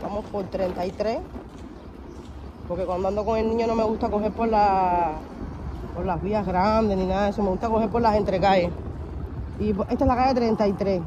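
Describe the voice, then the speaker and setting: A woman's voice: mid-range voice, fairly even intonation, a little fast, pauses often, dull audio. A Cuban woman talking casually to her viewers as a vlogger while walking through the streets. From Cuba. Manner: chatty, addresses viewers as family. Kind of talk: casual vlog narration to viewers. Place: outdoors on city streets. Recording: noisy street recording. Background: street noise, dogs, vehicles.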